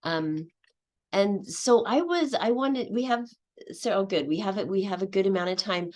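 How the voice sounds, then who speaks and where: A woman's voice: medium pitch, expressive, moderate pace, darkish tone. A woman giving a conference presentation on a video call, talking casually with many fillers and restarts. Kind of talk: casual presentation with audience questions.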